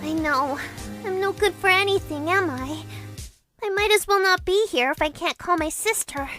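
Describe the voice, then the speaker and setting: Woman doing a super high girly young medium, kinda unsure of herself. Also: normal speed, bright, forceful tone. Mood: insecure. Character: young female spirit medium, cheerful but insecure. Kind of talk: fan audio drama acting. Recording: voice over background music.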